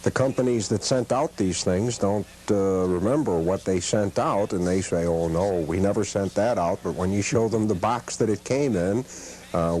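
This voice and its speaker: A middle-aged man giving halting, measured answers as an interview guest in his own home. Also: on the low side, mellow, low-quality recording. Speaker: guest, radio memorabilia collector. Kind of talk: casual interview recollection.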